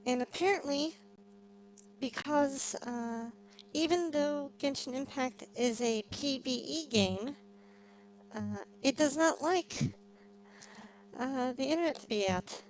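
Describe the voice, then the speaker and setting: A woman's voice: sits high, takes it slowly, pauses often. A woman livestreaming a game, chatting casually and a little hesitantly to her viewers. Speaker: livestreamer, vtuber. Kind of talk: casual livestream chat while gaming. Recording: clearly noisy, humming background.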